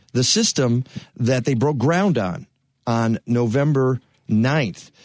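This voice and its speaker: A man's voice: fairly low pitch, darkish tone, dry recording, clean studio sound. A man hosting a radio talk show, talking casually with many fillers and restarts.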